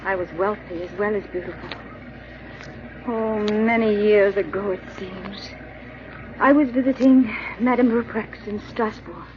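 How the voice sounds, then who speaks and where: A woman playing a haunted, genteel young French beauty in a 1940s radio drama, with refined, earnest phrasing and dramatic pauses. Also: medium pitch, natural voice. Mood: wistful. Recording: muffled, hissy old radio recording.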